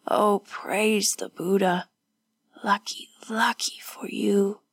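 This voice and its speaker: A woman voicing a battered, distressed young woman in a hoarse, faint, halting whisper for an audiobook. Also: mid-range voice, no echo, heavily acted. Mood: sorrowful. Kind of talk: audiobook character voice.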